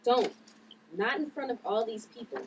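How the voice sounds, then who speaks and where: A woman playing a calm, commanding young heroine with a firm, admonishing manner at a script read. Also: fairly high pitch, normal speed. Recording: distant mic with clear background noise.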